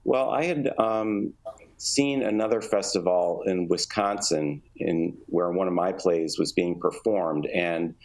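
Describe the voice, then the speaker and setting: A man giving conversational interview answers, explaining things in a measured, halting way. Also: sits low, darkish tone. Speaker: interview guest, theatre artistic director.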